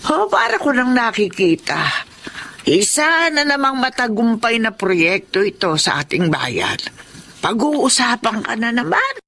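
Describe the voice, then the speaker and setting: A woman's voice: a little high, big pitch swings, unhurried, fairly bright tone, a little hiss or hum, heavily acted. An actress playing an enthusiastic, admiring older mother figure in a Tagalog radio drama, exclaiming and encouraging.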